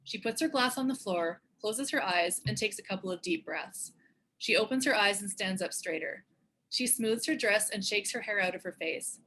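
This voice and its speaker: A woman's voice: mid-range voice, moderate pace, fairly bright tone, a little hiss or hum, low-quality recording. A woman reading screenplay stage directions as scripted narration.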